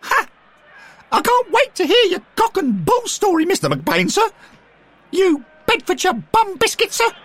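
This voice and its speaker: Brief: gleeful cheeky young fan, squeaky and sneering, jeering politely. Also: male voice, wildly swooping pitch, measured pace, a bit bright, heavily acted. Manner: mocking, cackling, formally polite insults.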